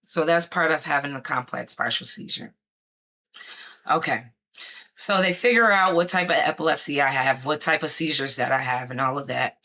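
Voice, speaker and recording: A woman talking casually about her life, rambling with many fillers and restarts, her voice going hoarse at times. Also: very deep voice, pushed and bright. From Chicago area, United States. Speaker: vlogger sharing her experience. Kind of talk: casual personal talk to camera.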